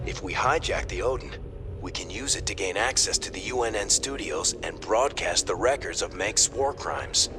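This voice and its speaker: An actor playing an earnest, composed ship's captain giving a formal briefing in a video game cinematic. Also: male voice, on the low side, noisy background, natural voice.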